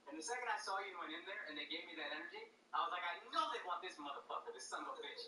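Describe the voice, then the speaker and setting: A man's voice: high voice, wildly swooping pitch, racing, sharp tone, reverberant, recorded from a distance, a little hiss or hum. A man who is a comedian host and actor, chatting frankly and casually, swearing freely. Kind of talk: casual podcast chat.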